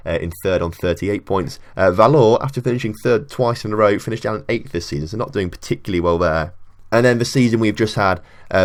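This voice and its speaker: Low-voiced man chatting over a game. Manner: chatty, thinking aloud with many fillers. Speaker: video game channel host. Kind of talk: casual gameplay commentary.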